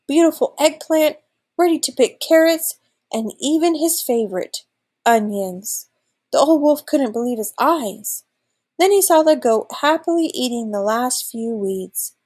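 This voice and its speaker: A woman's voice: sits high, a little mellow. A woman in her thirties reading a children's storybook aloud expressively, like a teacher.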